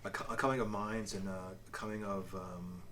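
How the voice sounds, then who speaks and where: A man's voice: deep voice, fairly even intonation, moderate pace, fairly bright tone, a little hiss or hum. A man co-hosting a casual review chat, explaining things in a loosely worded way with asides. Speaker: co-host of a comic review show.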